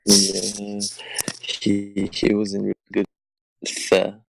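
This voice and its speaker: A man's voice: lowish voice, completely flat delivery, drawn-out words, gravelly, faint music behind, rough recording. A young man hosting a crude comedy podcast over a call, rambling and swearing between laughs. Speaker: podcast host. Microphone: remote call over shaky wi-fi.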